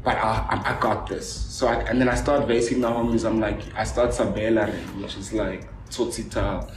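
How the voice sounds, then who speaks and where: A man from South Africa whose first language is Afrikaans, talking casually and telling stories quickly with lots of fillers. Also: fairly low pitch, far from the mic, music in the background. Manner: animated storyteller, talks quickly with many fillers. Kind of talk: casual conversation. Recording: roomy with background noise.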